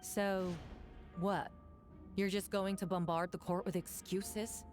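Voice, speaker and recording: A woman's voice: medium pitch, flat delivery, slow, a little mellow, soft background music, lightly acted. A woman voicing a stern, formal and confrontational prosecutor in a fantasy game courtroom. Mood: indignant, accusing. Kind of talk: game character voice acting.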